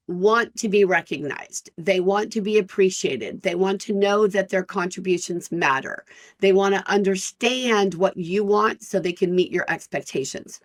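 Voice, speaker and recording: A woman in her fifties, an employment lawyer hosting her own podcast, talking frankly and directly to listeners in a loud, energetic voice. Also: medium pitch. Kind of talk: solo podcast talk.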